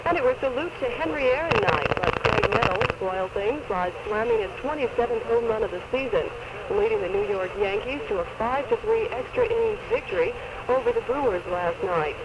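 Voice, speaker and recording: A man's voice: sits high, expressive, brisk, highs cut off. A man reading scripted sports news, captured on a 1970s AM radio recording. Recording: very noisy 1970s AM radio taping.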